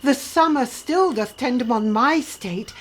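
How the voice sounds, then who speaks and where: A woman's voice: sits high, unhurried. A woman playing a lovestruck, imperious fairy queen in a Shakespeare radio play, speaking in a grand, lyrical, seductive way. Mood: adoring, commanding.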